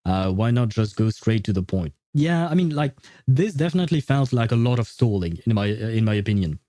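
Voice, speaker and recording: Mellow man chatting on a livestream, dry sound. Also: on the low side, expressive, a little fast, no room sound. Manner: chatty, rambling, playfully teasing. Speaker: livestream host. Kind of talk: casual livestream sign-off chat with viewers. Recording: dry voice over faint background music.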